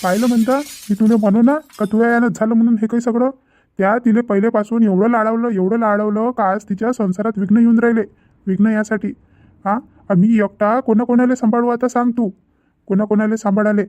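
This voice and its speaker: A man's voice: high-pitched, flat delivery, a little fast, darkish tone, no room sound, lightly acted. A man voicing a stern, exasperated middle-aged father in a cartoon family drama, insistent and repetitive, with a Vidarbha Marathi accent.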